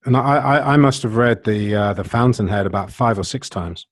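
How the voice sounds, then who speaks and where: A man with a husky voice, speaking casually as a guest author in conversation. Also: on the low side, normal speed, no echo, studio quality.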